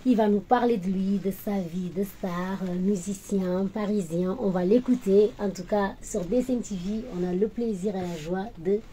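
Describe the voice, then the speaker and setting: Mellow-voiced woman hosting an interview. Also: mid-range voice, normal speed, hardly any pauses, rough recording. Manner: encouraging, short prompts. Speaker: interviewer host.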